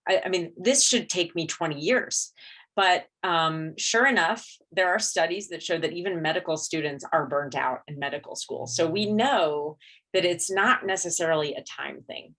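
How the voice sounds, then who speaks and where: A woman's voice: on the low side, normal speed, a bit bright. A middle-aged woman who is a dentist, giving a candid, reflective talk at a conference. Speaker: dentist and speaker.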